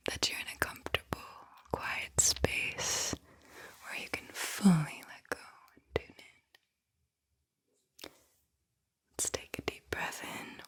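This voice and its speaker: A woman whispering a guided visualization in an ASMR style, with a breathy, airy voice and a soothing, deliberate delivery. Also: lowish voice. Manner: slow, soothing, deliberate delivery with repeated phrases. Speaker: host, guided visualization narrator.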